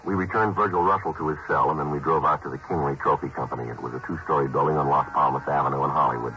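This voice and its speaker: An actor playing a terse male police detective in a 1950s radio drama, narrating his case in a clipped, flat, matter-of-fact way. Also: very deep voice, racing, music in the background. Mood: flat narration. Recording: muffled old radio broadcast with hiss.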